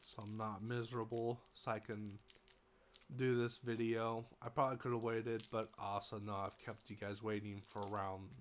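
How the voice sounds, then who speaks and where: A man's voice: lowish voice, flat delivery, normal speed, a little hiss or hum. A young American man with a cold, sounding congested, giving a casual rambling update to his viewers with lots of fillers and restarts. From United States. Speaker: vlogger. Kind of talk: casual update talk to camera.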